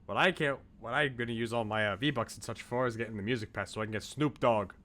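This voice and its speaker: Male game streamer, mid-pitched, chatty and informal, drifting between asides and reading aloud. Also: fast talker, a bit bright. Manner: chatty, talks to viewers, rambling asides. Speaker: streamer, video game host. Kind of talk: casual livestream chat with on-screen text read aloud.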